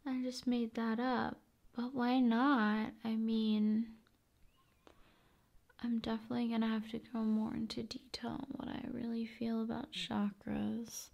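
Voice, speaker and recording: A woman hosting ASMR in a calm, soothing manner, moving between soft speech and whispering. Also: on the high side, a little slow, creaky, dull audio. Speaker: ASMR video host.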